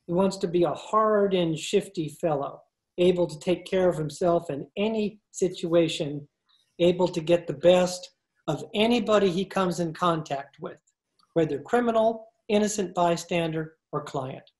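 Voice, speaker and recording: A woman's voice: lowish voice, moderate pace, a little mellow. A woman giving a scripted announcer's introduction to a radio play, recorded at home. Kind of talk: scripted narration. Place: speaker's home, recorded over video call.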